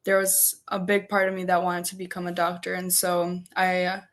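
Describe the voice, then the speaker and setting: A woman in her twenties, a native Polish speaker, answering interview questions as a student in a halting, conversational way with frequent filler "like". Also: lowish voice, completely flat delivery. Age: 20s. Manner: hesitant, peppered with "like". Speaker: interview guest, first-year medical student.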